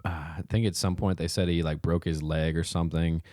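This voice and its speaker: A man's voice: low voice, flat delivery, a little fast, dark tone, dry recording, studio quality. A man co-hosting a podcast, chatting casually in a teasing, rambling way. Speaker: podcast co-host. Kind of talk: casual chat.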